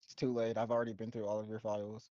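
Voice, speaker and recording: Laid-back slangy guy joking around, mellow voice, kinda muffled mic. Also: fairly low pitch, monotone, fast, dry recording. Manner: slangy, joking, laughs often. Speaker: co-host of a reaction channel. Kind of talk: casual reaction chat.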